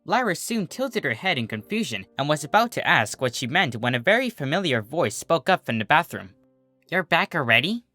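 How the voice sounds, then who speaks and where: Bright, animated story narrator. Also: mid-range voice, a little fast, few pauses, dry recording, faint music behind. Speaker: fan fiction reader and narrator.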